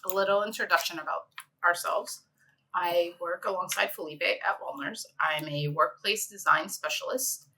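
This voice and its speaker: A woman's voice: lowish voice, moderate pace, bright, forceful tone, rough recording. A middle-aged woman from Egypt, an interior designer presenting a conference session in a conversational way with frequent fillers.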